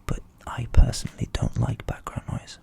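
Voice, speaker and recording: A young man who grew up in England with Ukrainian as his first language, whispering softly as an ASMR host answering viewer questions and thinking aloud. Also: moderate pace. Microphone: studio USB microphone.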